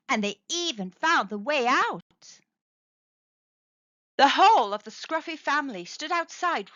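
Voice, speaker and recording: A woman gently and expressively reading a children's storybook aloud. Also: high voice, no echo, muffled recording. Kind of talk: children's read-aloud narration.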